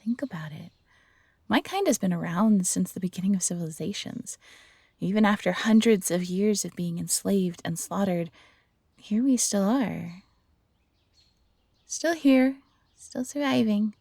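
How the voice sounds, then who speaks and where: A woman playing a gentle, eager fox-hybrid former servant in an intimate roleplay audio drama, soft-spoken and earnest with a breathy, slightly husky voice. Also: mid-range voice, unhurried, long pauses, dry recording. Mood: wistful, reflective.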